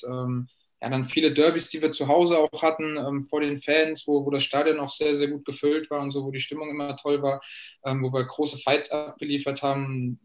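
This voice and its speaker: A man in his late twenties, a professional footballer, answering fan questions thoughtfully over a video call with many fillers and restarts. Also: mid-range voice. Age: late 20s.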